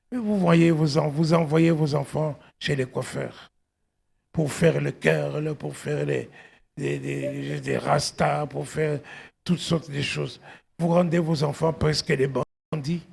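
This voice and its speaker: A middle-aged male pastor delivers an exhortative sermon. His voice is fairly high, husky and gravelly. He speaks slowly and emphatically, punctuating his points with exclamations and questions to the congregation. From outside Belgium, arrived at eighteen.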